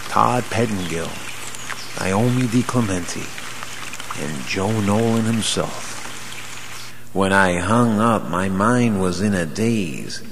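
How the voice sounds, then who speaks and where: A man working as a radio announcer, telling a scripted first-person story in a theatrical, deliberate way. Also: fairly low pitch, background noise. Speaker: radio board operator and announcer. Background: music.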